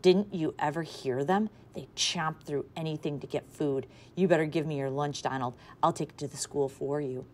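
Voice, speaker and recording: A woman's voice: low voice, normal speed, few pauses, slight background noise, close to an everyday voice. A woman reading aloud voices a bullying girl who coaxes a little boy in a sly way. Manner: sly, coaxing.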